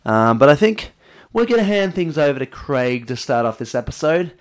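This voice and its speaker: A man's voice: a little high, very animated, normal speed. A man hosting a podcast, talking in a casual, conversational way as he introduces it. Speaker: podcast host.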